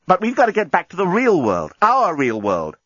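A man voicing a brisk, indignant young woman lawyer in a comic sci-fi audiobook, exclamatory, firm and urgent. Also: high voice, wildly swooping pitch, a bit bright, husky, dry recording, dull audio, pitched above the performer's own voice, exaggerated voice. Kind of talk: comic science fiction audiobook.

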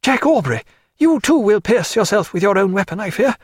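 Brief: man playing proud sick surgeon, husky, wry and warm-toned, lively delivery. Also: high voice, a little fast, dry recording, clean studio sound, pitched above the performer's own voice, heavily acted. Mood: tender, wry.

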